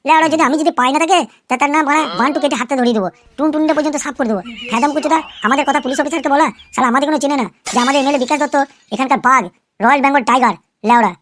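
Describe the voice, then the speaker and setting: A man's voice: very high voice, very fast, a bit bright, a little hiss or hum. A performer voicing a man, a foul-mouthed, fawning gangster henchman in a comic cartoon, rambling and boastful. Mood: vengeful, boastful. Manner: rambling, boastful, wheedling, swearing constantly. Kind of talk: comic cartoon dialogue in crude slang.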